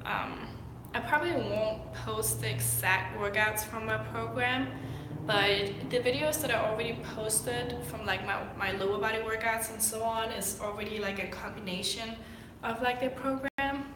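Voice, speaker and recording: A young woman from Denmark, sick and clearing her throat, answering viewer questions in a rambling, chatty way with lots of fillers on a livestream. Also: fairly high pitch, normal speed. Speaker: fitness vlogger. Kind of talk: casual livestream Q&A. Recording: echoey room with faint noise.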